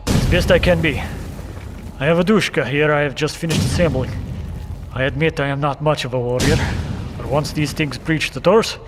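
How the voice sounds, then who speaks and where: A man plays a humble lone survivor under siege in an audio drama. His voice sits a little high and his words are formal and earnest, resigned yet warm toward his friend. Clear noise surrounds him. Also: normal speed, lightly acted. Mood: grimly resolved. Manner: formal, earnest, stiffly worded speech. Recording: noisy radio link with gunfire.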